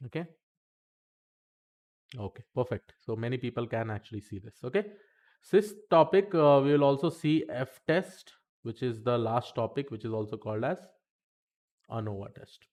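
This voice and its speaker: A man's voice: mid-range voice, expressive, moderate pace, darkish tone. Chatty man teaching a live lesson. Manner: chatty, repeats okay, talks to the chat between points. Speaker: instructor teaching statistics.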